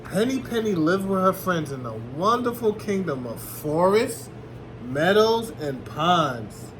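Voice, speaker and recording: Male storybook reader: high pitch, lively intonation, expressive, explanatory classroom delivery. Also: measured pace, noisy background. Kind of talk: children's read-aloud narration with questions to listeners.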